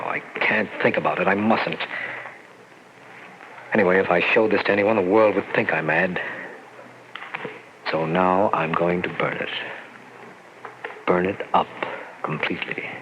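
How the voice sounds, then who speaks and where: An actor playing a shaken man who narrates his ordeal in tense first person, in 1940s radio drama style. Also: low voice. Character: shaken male narrator-protagonist. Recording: dull old radio recording with loud noise.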